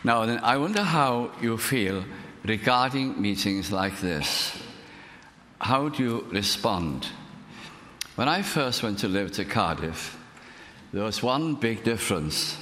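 A man with a Welsh accent whose first language is Welsh, preaching a sermon in an earnest, unhurried way, letting his voice drop softly at the ends of phrases. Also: fairly low pitch, lively intonation, slight background noise. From Wales. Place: church. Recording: long reverb of a hall.

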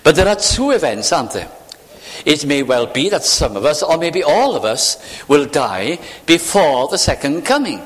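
A man preaching a sermon, acting out imagined voices and sinking to hushed, near-whispered phrases. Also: fairly high pitch, sing-song, normal speed, in a large hall. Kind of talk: evening sermon.

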